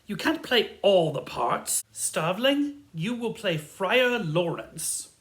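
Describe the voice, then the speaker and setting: A woman playing an earnest, slightly bossy amateur theatre director in a comic audio drama, announcing things deliberately. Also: mid-range voice, sing-song. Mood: officious.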